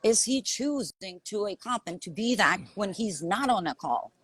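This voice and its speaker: Husky Lithuanian-accented woman coach. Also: mid-range voice, lively intonation, normal speed. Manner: earnest, emphatic, repeats herself and asks "right?". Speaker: coach and podcast host. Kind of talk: conversational podcast chat.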